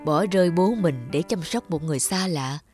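A man's voice: on the high side, expressive, moderate pace, a little mellow, faint music behind, close to an everyday voice. A man playing a concerned, disapproving middle-aged doctor in a cartoon drama, with a grave, admonishing manner. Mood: disappointed.

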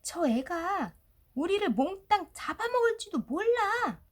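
Woman acting a high-pitched, indignant little rabbit girl. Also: very animated, a little slow, heavily acted. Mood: alarmed, indignant. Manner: indignant, tattling, shouting. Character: feisty, suspicious, grumbling young girl rabbit, big sister. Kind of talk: storybook character voice.

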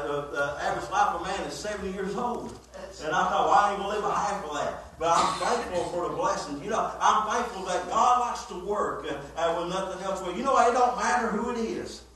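High, bright-voiced preacher in his fifties. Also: slight background noise. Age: 50s. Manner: heavy "uh" fillers, repeated refrains, plain folksy grammar. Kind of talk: sermon. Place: church sanctuary, mid-sized indoor room. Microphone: phone camera from the pews, far from speaker. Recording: echoey church heard from far off.

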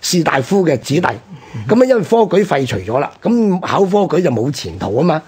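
Husky, animated Hong Kong man. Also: a little high, fairly quick. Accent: Hong Kong Cantonese. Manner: explanatory, enumerating points. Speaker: history programme host. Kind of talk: conversational radio history storytelling.

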